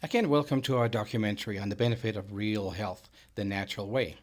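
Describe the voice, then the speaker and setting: A man's voice: on the low side, fast talker. Middle-aged Danish-accented man presenting. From Denmark. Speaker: business owner and presenter. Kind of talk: scripted sales presentation.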